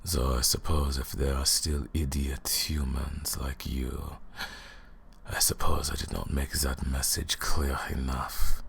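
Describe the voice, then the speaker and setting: A man voicing an arrogant, cruel vampire lord in an ASMR roleplay, with a put-on accent and a slow, mocking, menacing delivery in a gravelly, breathy voice. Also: a little hiss or hum, heavily acted. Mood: smug menace. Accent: put-on foreign accent. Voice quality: gravelly, husky, breathy deep voice.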